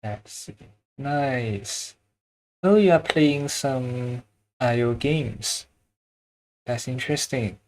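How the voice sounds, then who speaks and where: A man's voice: medium pitch, very slow, pauses often, low-quality recording. A man with a Chinese accent, chatting casually with viewers while he draws on a livestream, often searching for words and chuckling. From China. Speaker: drawing livestreamer.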